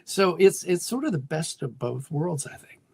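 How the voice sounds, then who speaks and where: Gravelly, husky American man explaining thoughtfully. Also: a little high, big pitch swings, moderate pace, dry recording. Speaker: guest, audio drama writer and producer. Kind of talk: conversational interview answers.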